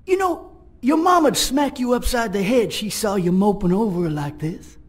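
A gruff older space pirate talks in a folksy, blunt way. His voice is husky and high, and his pitch swings widely. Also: expressive, measured pace, full character voice. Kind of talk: video game voice acting.

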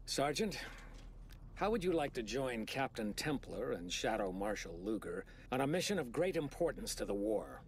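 An actor playing an older general in a video game, speaking in a measured, authoritative way. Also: male voice, mid-range voice, sing-song, slight background noise. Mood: commanding. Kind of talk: video game cutscene acting.

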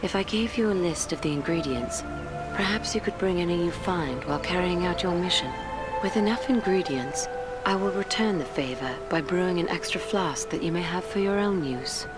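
A woman acting as a gracious elf lady in a fantasy video game, her voice set low. She speaks formally and courteously, with measured calm, while music plays clearly behind her. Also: lightly acted. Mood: earnest, courteous. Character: gracious, serene young elf noblewoman. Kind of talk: scripted fantasy game dialogue.

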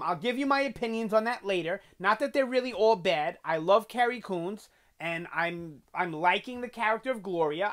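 Young New guy hosting a TV review channel, animated and loose, lots of "um" and "you know", webcam sound. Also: sits high. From New York. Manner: animated, gesturing a lot, chatty with fillers. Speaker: TV review host. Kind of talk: casual video review. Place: small bedroom with collectibles on shelves.

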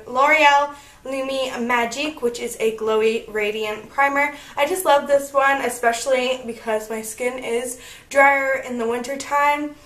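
A chatty young woman in her twenties talks through her makeup routine in a fairly high voice, her tone slightly croaky as she gets over a cold. She is recorded at home on a camera mic, and the room gives the sound a noticeable echo. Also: a bit bright. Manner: chatty and upbeat, narrating each step. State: slightly croaky, recovering from illness. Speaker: beauty vlogger. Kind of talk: casual makeup tutorial chat. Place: bedroom at home, indoors. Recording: echoey room.

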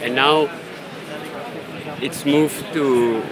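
A man giving halting, unscripted commentary as he films outdoors on a handheld device in a busy city street. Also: mid-range voice, fairly even intonation. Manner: halting, searching for words. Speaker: amateur video maker filming a street scene. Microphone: handheld phone or camera, held by the speaker. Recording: noisy busy city street, traffic and crowd.

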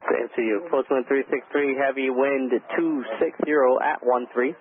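A man working as an air traffic controller, talking in rapid, clipped radio calls. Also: medium pitch, fairly even intonation. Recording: tinny radio transmission with faint hiss.

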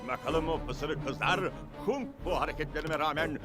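A man's voice: fairly high pitch, normal speed, over music, close to an everyday voice. A man voicing a pompous, theatrical cartoon villain who is vain, scheming and easily flustered. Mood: boastful. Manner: pompous, bombastic, maniacal laughing. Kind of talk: exaggerated cartoon dub acting.

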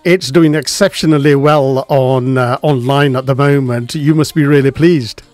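A man's voice: medium pitch, steady tone, normal speed, a little mellow, no echo, faint music behind. Middle-aged man hosting radio chat. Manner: friendly questions with many uh fillers. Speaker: radio presenter. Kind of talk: casual radio interview. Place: radio studio. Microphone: studio microphone with headphones.

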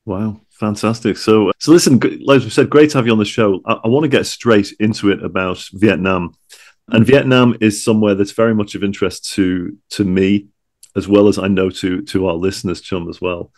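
A man's voice: on the low side, fairly even intonation, brisk, darkish tone. A man hosting a podcast in a chatty, wordy, conversational interview style, often repeating his words. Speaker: podcast host.

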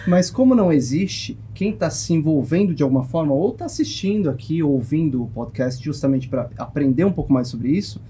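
A Brazilian man in his thirties explaining his points in a measured, slightly hesitant way during a casual panel discussion. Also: on the high side, animated, dark tone, a little hiss or hum. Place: small padded podcast studio. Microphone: close boom-arm studio microphone.

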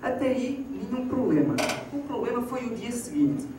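A man's voice: very high voice, normal speed. A young man in his twenties from Portugal with a European Portuguese accent, doing a stand-up comedy set. He tells his stories with animation and acts out the dialogue. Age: 20s. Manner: animated storytelling, acting out quoted dialogue. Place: small theater stage. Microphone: handheld mic, filmed from far off. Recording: echoey hall, distant camera, audience noise. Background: audience laughter.

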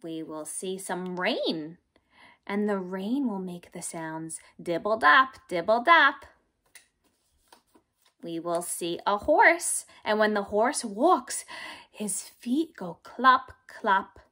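A young woman teaching and reading aloud to small children in simple, deliberate phrases. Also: mid-range voice, animated, bright, forceful tone. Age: young adult. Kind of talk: children's read-aloud lesson.

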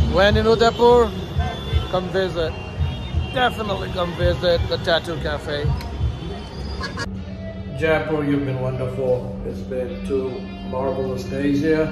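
A man in his forties narrating a casual travel vlog in short, upbeat phrases, filming himself outdoors with a phone at arm's length. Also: a little high. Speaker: travel vlogger. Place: outdoors on city streets and in a rickshaw. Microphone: phone held at arm's length for selfie video.

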